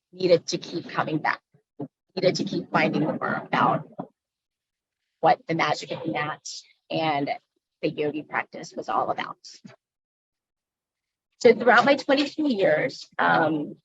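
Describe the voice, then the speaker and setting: Low, husky-voiced woman sharing her story. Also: no echo, dull audio. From Philippines. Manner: halting, with frequent ums and pauses. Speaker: guest speaker, yoga instructor. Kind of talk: personal talk.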